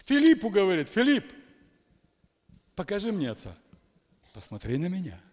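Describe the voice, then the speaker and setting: A man preaching a charismatic sermon to a congregation, emphatic, swinging from hushed lines to shouted exclamations. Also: high-pitched, huge pitch swings, normal speed. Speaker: pastor. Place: church hall. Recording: long hall reverb.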